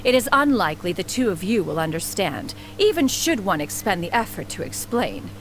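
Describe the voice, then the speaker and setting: An actress playing a proud, aloof elder inventor in a video game, speaking in a formal, lofty, archaic manner. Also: female voice, mid-range voice, very animated, moderate pace, soft background music, close to an everyday voice. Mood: lecturing, haughty. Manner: formal, haughty, archaic speech calling herself "one".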